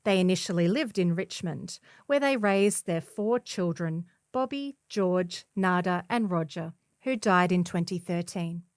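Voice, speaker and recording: A woman's voice: fairly low pitch, animated, a little slow, hardly any pauses, no echo, clean studio sound. A woman reading a scripted feature article as a volunteer newspaper narrator. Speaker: volunteer reader of a community newspaper.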